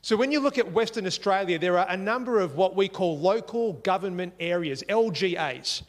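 A man's voice: high-pitched, expressive, moderate pace, in a large hall. A man preaching a sermon in earnest, repeating key phrases for emphasis. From Singapore. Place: church.

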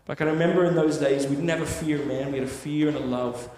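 A man's voice: fairly high pitch, steady tone, fast talker, darkish tone, a little hiss or hum. A man preaching a sermon, telling a story in an earnest, conversational way with frequent tag questions. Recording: echoey hall with long reverb.

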